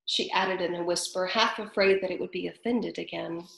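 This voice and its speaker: A woman reading a children's story aloud with chatty asides to young listeners, in an animated way. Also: on the low side, normal speed, vocal fry. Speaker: storybook reader. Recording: roomy echo.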